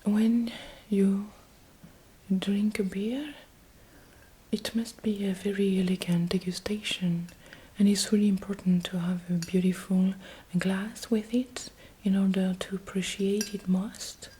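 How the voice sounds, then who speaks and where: A woman with a French accent softly whispering an ASMR shop roleplay in a breathy, gentle way, as if serving a customer. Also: mid-range voice, level tone, unhurried, slight background noise, low-quality recording. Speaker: ASMR host playing a beer shop assistant.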